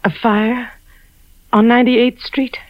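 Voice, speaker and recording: A woman playing a concerned, excitable wife in a 1940s radio drama. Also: a little high, slow pace, noisy background. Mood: excited. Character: concerned wife, uneasy and excitable about fire. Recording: muffled 1940s radio recording, faint music.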